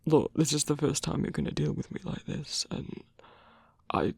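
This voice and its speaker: A man playing a grumpy but tender werewolf lover in human form, speaking hesitantly with sighs and a husky, gravelly voice in an intimate ASMR roleplay. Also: fairly high pitch, little pitch movement, darkish tone, no room sound, exaggerated voice. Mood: bashful, incredulous. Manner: halting, hesitant, sighing, gruffly bashful.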